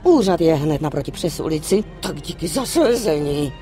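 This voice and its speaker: An actress playing a brisk, shrewd, bossy middle-aged office insider in a dubbed game, matter-of-fact and chiding. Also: female voice, sits low, sing-song, music in the background. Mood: bossy, knowing. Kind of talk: dubbed video game cutscene acting. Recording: clear vehicle and ambient noise behind.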